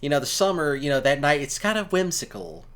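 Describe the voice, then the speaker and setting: A man's voice: on the high side, a little fast, clear, resonant tone. A man giving casual, rambling commentary in a folksy, colloquial way, like a streamer talking to listeners. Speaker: streamer and ASMR audio creator.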